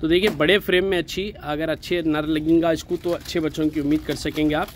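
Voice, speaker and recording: A man's voice: on the high side, fairly even intonation, fast talker, a little hiss or hum. A man with a Hyderabadi accent, a goat farm owner giving a chatty, persuasive sales walkthrough outdoors in a goat pen. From India.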